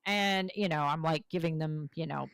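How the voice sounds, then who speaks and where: Woman, low and gravelly, hoarse from a long session; chatty, rambling gamer, recorded dry. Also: moderate pace, a bit bright, no room sound. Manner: rambling, talkative, self-deprecating. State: voice going hoarse after hours of talking. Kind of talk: casual chat while playing a game.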